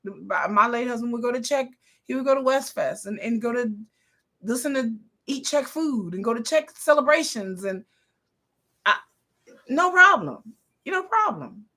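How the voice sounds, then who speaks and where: A woman's voice: a little high, lively intonation, moderate pace, bright, forceful tone. Blunt, profane woman ranting casually. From northern United States. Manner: blunt, profane, rambling with restarts. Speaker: commentary channel host. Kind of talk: casual opinion monologue.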